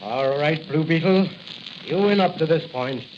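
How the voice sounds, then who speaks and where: An actor playing a sneering, cornered crime boss in a 1940s radio drama. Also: male voice, on the high side, a little slow, close to an everyday voice. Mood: defiant. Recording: hissy, dull 1940 radio recording.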